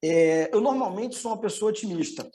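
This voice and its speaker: A middle-aged man with a Carioca Rio accent, an economist giving an informal, explanatory presentation with hesitant pauses. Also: sits high, lively intonation, mellow. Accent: Carioca (Rio de Janeiro) Brazilian. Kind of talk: informal online presentation with slides.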